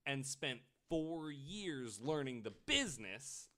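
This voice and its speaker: A man's voice: mid-range voice, very animated, slow, bright, forceful tone. A man podcast host telling a story in a casual, informal way with some swearing. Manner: informal, profane.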